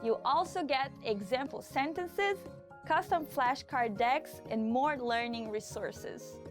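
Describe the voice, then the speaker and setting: A woman presenting a vocabulary lesson, repeating each word slowly and deliberately. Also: high voice, music in the background. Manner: slow, deliberate repetition. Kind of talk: slow word-by-word vocabulary drill.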